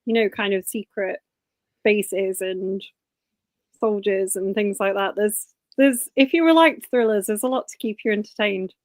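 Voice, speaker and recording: A woman's voice: mid-range voice, measured pace, dry recording. A woman hosting a book club live stream on a video call, friendly and conversational, with small stumbles. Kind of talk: casual live-stream chat.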